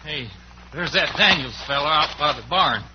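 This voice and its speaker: An actor playing a folksy cowboy sidekick in an old-time western radio drama. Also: male voice, fairly high pitch, expressive, moderate pace, lightly acted. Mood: chatty, concerned. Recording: muffled, hissy vintage radio broadcast.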